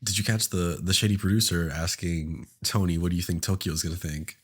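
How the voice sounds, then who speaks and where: Congested low-voiced man, campy banter. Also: brisk, husky. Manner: campy, dramatic rants with laughter. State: congested from a cold. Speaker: podcast co-host. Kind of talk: casual chat recapping a reality show.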